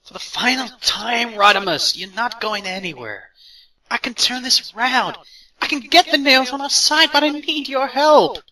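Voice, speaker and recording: A man's voice: extremely high pitch, expressive, moderate pace, sharp tone, far from the mic, a little hiss or hum, exaggerated voice, low-quality recording. A man playing a stern, pleading robot leader, firm and insistent, in an audio drama.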